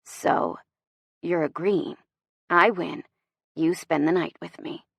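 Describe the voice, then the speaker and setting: Woman voicing a gruff, hushed, seductive man. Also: lowish voice, steady tone, no room sound, studio quality, heavily acted. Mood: low, determined. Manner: murmuring, deadly serious, deliberate. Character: confident, intense man, seductive brooding suitor. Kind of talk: romance audiobook dialogue.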